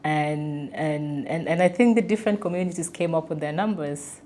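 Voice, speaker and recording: Low-voiced woman reflecting in discussion. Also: normal speed, dark tone. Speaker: podcast panelist, peace facilitator. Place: indoor room around a wooden table. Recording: clear background noise, room ambience.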